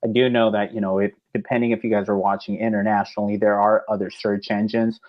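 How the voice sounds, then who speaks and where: A man's voice: on the low side, level tone, fast, muffled recording. A man in his twenties co-hosting a podcast, upbeat and talking straight to viewers, with a lot of "you know". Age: 20s. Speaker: podcast co-host. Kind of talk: casual podcast chat.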